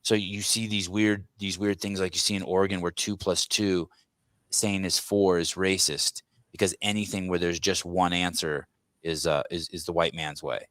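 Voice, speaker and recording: A man hosts a podcast conversation in a deep, low voice, close and dry on the mic. He grew up speaking Armenian and talks in a blunt, animated way, stumbling over restarts as he builds his point. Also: normal speed, dry recording. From United States. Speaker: podcast host. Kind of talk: conversational interview.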